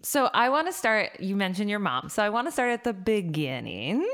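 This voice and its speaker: A woman interviewing a guest in casual chat, bubbly and giggly, with animated asides. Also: mid-range voice, moderate pace.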